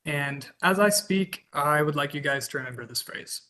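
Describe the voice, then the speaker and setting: Bright-voiced young man testifying by video call. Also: fairly high pitch, normal speed. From Kansas. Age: 20s. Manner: earnest, reads a prepared statement. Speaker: witness giving testimony. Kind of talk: prepared public testimony.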